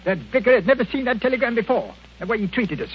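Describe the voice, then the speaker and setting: A man's voice: extremely high pitch, very animated, fast. An actor playing a miserly, irascible old man with blustering, indignant delivery in 1940s radio style. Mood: grumbling, indignant. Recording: muffled, hissy 1940 radio broadcast.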